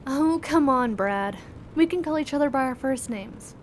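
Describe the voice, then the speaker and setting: An informal, curious doctor in an audio drama. Her voice is high, with a casual, teasing way of talking. Faint noise lies underneath. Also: expressive, normal speed. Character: informal, teasing, inquisitive female doctor at a school.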